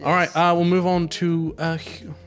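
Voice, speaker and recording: Man, slightly high pitch, casual conversational player, faint music behind. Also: level tone, normal speed, smooth. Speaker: player on an improv tabletop comedy show. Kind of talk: casual chat.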